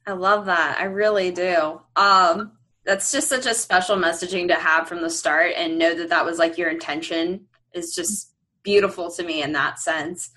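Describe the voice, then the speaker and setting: A woman's voice: lowish voice, normal speed, pushed and bright. A woman interviewer speaking conversationally, with an affirming, enthusiastic manner.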